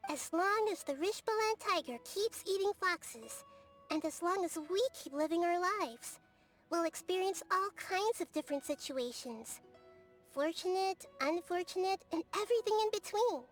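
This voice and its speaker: Game role: tiny wise child goddess, extremely high voice, earnest, gently inquisitive, over music. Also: female voice, animated, normal speed. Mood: thoughtful. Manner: soft-spoken, thoughtful, quizzical, speaks in animal analogies. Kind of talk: video game character dialogue.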